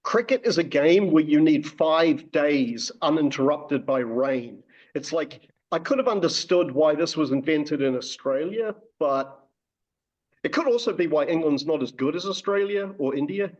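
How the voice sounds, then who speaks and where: Man, fairly high voice, wry and joking, casual interviewer, video call, muffled lo-fi audio. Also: animated, normal speed, darkish tone.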